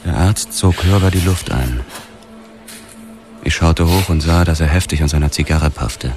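Detective narrator, radio play, bottom-low pitch, understated, measured, sober, music underneath. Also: male voice. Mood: grim, restrained. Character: hardboiled male detective narrating his own story, sober. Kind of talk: scripted first-person radio drama narration.

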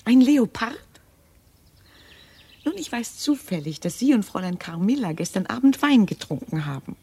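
A woman's voice: on the high side, big pitch swings, moderate pace, a little mellow, breathy edge, heavily acted. An actress playing a sensible yet gossipy female servant in a radio drama, chatty and kindly chiding. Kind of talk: German radio drama acting.

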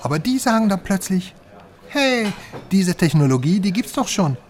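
An actor playing a secretive, mistrustful informant who explains eagerly in a German radio crime drama. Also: male voice, high voice, sing-song, moderate pace, a little mellow. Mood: eager explaining.

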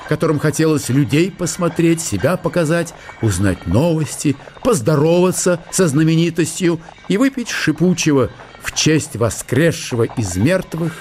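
A man reading a literary historical story as a radio narrator, in measured short phrases. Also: a little high, darkish tone. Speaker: narrator of a radio audio play.

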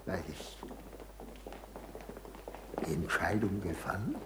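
An actor playing a very old, indignant, grandiose cardinal in a 1960s German radio play, declaiming pompously and haltingly. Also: male voice, low voice, background noise. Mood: grandiose, fervent. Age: older. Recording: roomy echoing 1960s radio studio.

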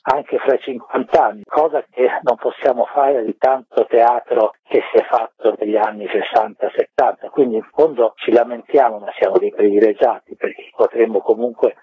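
A husky-voiced man speaks over a thin telephone line with very little room sound. His pitch sits in the middle, and he explains things expansively, in the conversational way of a director being interviewed. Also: normal speed, hardly any pauses, dry recording. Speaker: theatre director, interview guest.

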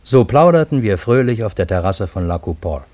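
Male actor as Parisian narrator, radio play, dark warm tone, dry sound, urbane, wry, literary. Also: on the low side, lively intonation, a little fast, no echo, close to an everyday voice. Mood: wry, narrating. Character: genial, bewildered Parisian man narrating a fantastic encounter.

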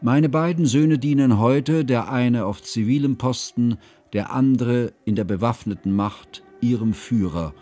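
An actor playing an ageing scholarly schoolmaster who narrates a radio drama in a measured, pedantic, ornate way. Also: male voice, mid-range voice, mellow, lightly acted. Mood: reflective, confessional. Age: older. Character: ageing scholarly schoolmaster, humane, ceremonious, reflective. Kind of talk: literary first-person radio drama narration.

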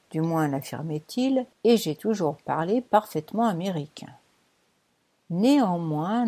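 A woman narrating a first-person novel as an audiobook, in a measured, wry storytelling manner. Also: lowish voice, mellow.

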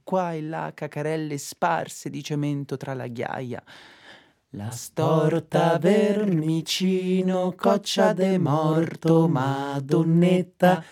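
A man's voice: on the high side, warm tone. An actor playing a hapless, anxious young Roman man in a comic stage piece, with a Roman Italian accent and absurdly earnest delivery. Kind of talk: theatrical comic stage performance for radio.

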